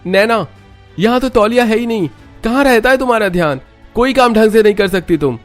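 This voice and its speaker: A man voicing a short-tempered young husband who scolds his new wife in a loud, harsh voice. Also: sits high, lively intonation, fairly quick, faint music behind, pitched above the performer's own voice. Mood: angry shouting. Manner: shouting, scolding.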